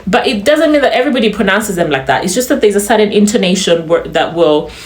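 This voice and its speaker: A woman's voice: medium pitch, quick pace. Chatty Ugandan woman in an echoey room. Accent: Ugandan, slightly polished. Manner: chatty, laughs often, animated asides. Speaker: vlogger. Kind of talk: casual chat to camera.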